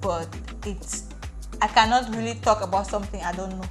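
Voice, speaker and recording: A woman's voice: medium pitch, steady tone, fairly quick. A young woman from Africa hosting a casual chat, opinionated and talkative, restarting her sentences. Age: young adult. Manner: chatty, opinionated, restarts often. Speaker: vlog host. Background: background music.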